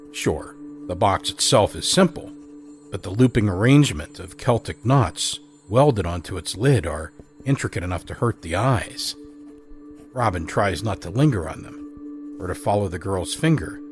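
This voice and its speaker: A man narrates a drama in a measured, literary voice with dry wit. His voice sits on the low side. A faint score plays softly beneath the narration.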